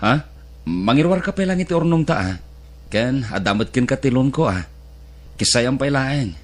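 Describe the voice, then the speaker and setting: A man's voice: mid-range voice, animated, moderate pace, close to an everyday voice. A man acting an earnest young mechanic who plans to marry, in a dramatised story. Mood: reluctant. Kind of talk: radio-drama style acted dialogue.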